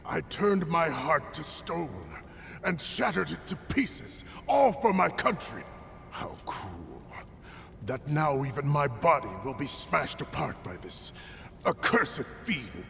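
A proud, tormented old commander in a game cutscene. He speaks gravely in a creaky, gravelly voice that swells and drops. Long reverb hangs around him under clear music. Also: fairly high pitch, very animated, takes it slowly, fairly bright tone, a little hiss or hum, exaggerated voice. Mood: bitter despair. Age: older. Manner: grave, dramatic, brooding delivery. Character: proud, anguished aging military commander and father. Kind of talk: video game voice acting.

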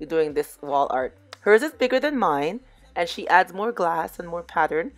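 Hoarse woman narrating a crafts tutorial. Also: sits low. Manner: relaxed, chatty, addresses viewers as "you guys". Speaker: DIY crafts vlogger. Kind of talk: casual crafting tutorial voice-over.

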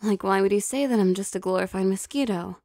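A woman voicing a possessive, teasing young vampire girlfriend in an intimate, soft ASMR roleplay, coaxing with hesitant pauses. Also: mid-range voice, dry recording, clean studio sound, natural voice. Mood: hurt, annoyed.

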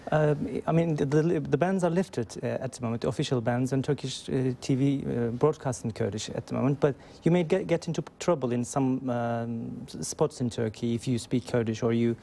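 A man's voice: medium pitch, a little fast, vocal fry, hardly any pauses, dark tone. A man speaking as a journalist guest in a TV news studio, thoughtful and stumbling on words. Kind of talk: studio interview.

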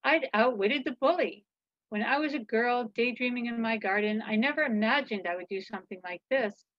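Higher-voiced woman reading a storybook. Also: normal speed, no room sound. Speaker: author reading own children's book. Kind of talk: expressive storybook reading in the first person. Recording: slightly dull video-call audio.

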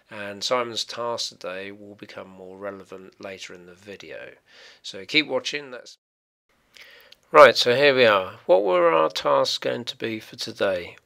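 A man from England, a paragliding instructor, giving a voice-over commentary on flight footage in an explanatory, brisk way, his voice trailing off at the ends of phrases. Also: lowish voice, gravelly, a bit bright.

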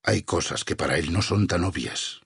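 Casting: devout, vengeful warrior preacher for a video game. Deep, extremely raspy, Castilian Spanish accent, solemn persuasion. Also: male voice, a bit bright, no room sound, studio quality, exaggerated voice. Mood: solemn, guarded. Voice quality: husky, gravelly low voice. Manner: solemn, measured, sermon-like persuasion. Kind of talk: dramatic video game dialogue.

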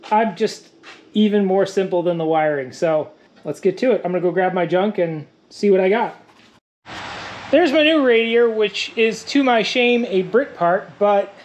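A man's voice: sits high, darkish tone. A man in his thirties working on a truck in a garage workshop, wry and self-mocking, his voice worn from days of talking. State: losing his voice. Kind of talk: casual workshop vlog. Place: cluttered wooden garage workshop.

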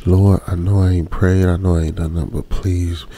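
A man playing a lonesome, uneasy young trucker, muttering colloquially with pauses in an ASMR radio drama. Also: low-pitched, little pitch movement, dark tone, a little hiss or hum. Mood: pleading. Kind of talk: ASMR old-school radio drama monologue.

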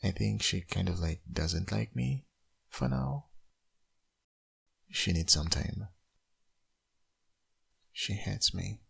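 A man playing a tender, wistful young father still in love with his ex in a boyfriend ASMR roleplay, speaking hesitantly in an intimate, husky, gravelly murmur. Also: low-pitched, animated, slow pace, pauses often, a bit bright, full character voice. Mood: soft, hesitant. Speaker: audio roleplay voice actor.

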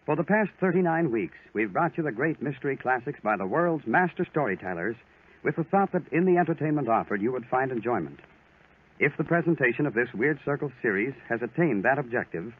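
Husky 1940s radio announcer man. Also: medium pitch, expressive, fairly quick. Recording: phone-like 1940s radio recording.

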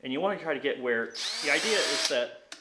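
A man's voice: a little high, expressive, fairly quick. A man in his thirties hosting a hands-on workshop tutorial, explaining each step plainly while he works, recorded by a camera a few metres away in an indoor home workshop. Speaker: woodworking channel host. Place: indoor home workshop, cluttered and hard-walled. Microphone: camera on a tripod a few metres away. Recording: echoey workshop with tool noise. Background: power tool and workshop noise.

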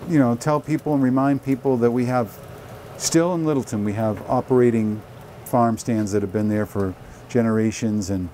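Bright-voiced man co-hosting a cooking show. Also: mid-range voice, moderate pace. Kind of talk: casual cooking demonstration chat. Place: large indoor demonstration kitchen. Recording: faint background noise.

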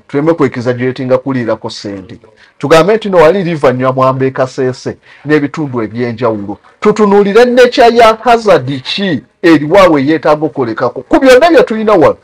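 A man's voice: medium pitch, breathy edge. A man with a Ugandan accent giving critical talk show commentary, mixing English terms into his speech.

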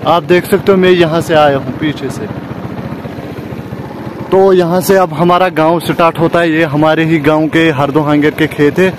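A young man talks casually to his vlog audience. He is chatty and often repeats phrases for emphasis. The phone recording, made at arm's length outdoors, has clear background noise. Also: fairly high pitch, fairly even intonation, moderate pace. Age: 20s. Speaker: vlogger. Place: outdoors on village roads, paths and by water. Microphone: handheld phone at arm's length.

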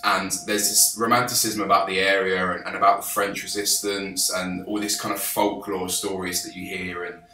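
A young man from England in his twenties, pitching his film to camera with eager gestures and frequent restarts. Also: flat delivery, normal speed, few pauses, pushed and bright, distant mic. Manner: enthusiastic, gestures with his hands, many restarts. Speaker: film director pitching a crowdfunding campaign. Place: small office, indoors. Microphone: camera a few feet away. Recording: roomy office sound with background music.

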